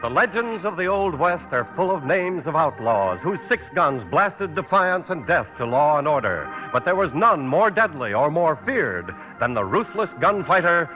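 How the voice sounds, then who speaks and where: A man's voice: a little high, sing-song, normal speed, hardly any pauses, bright, forceful tone. An American man narrating as a radio announcer in a dramatic 1950s broadcast style. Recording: dull old radio broadcast recording. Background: organ music.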